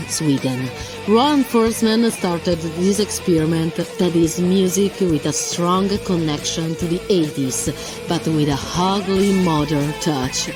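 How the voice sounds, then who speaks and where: A woman reading a scripted band introduction in an even tone. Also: low voice. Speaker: intro reader. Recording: voice over loud music.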